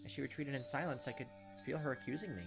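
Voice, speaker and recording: A man's voice: medium pitch, fast, creaky, low-quality recording. Man narrating a visual novel over game music. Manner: interjects informal, blunt reactions. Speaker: let's play host. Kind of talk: reading visual novel narration with reactive commentary. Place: indoors at a desk, webcam gameplay. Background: game music, insect sounds.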